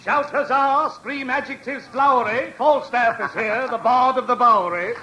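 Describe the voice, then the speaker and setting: An actor playing a pompous, florid street poet who declaims grandly with flowery diction in a 1940s radio comedy. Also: male voice, very high-pitched, normal speed, bright, forceful tone, faint music behind. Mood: grandiose. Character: pompous, florid hammy street poet Falstaff, bard of the Bowery. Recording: faintly noisy vintage radio recording.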